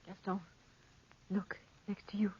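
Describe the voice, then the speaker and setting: A woman's voice: mid-range voice, monotone, measured pace, darkish tone, a little hiss or hum, natural voice. A woman playing an alluring, cool young woman in a 1940s radio drama, theatrical and teasing, with scornful lines. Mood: tense, hushed. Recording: muffled, dry 1940s radio recording.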